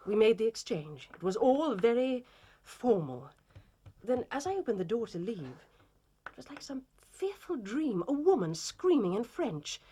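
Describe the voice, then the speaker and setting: A woman's voice: on the high side, big pitch swings, unhurried, mellow, breathy edge, exaggerated voice. An actress playing an anguished, proud titled Victorian lady in a period drama, formal and emotionally intense. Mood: tense recounting.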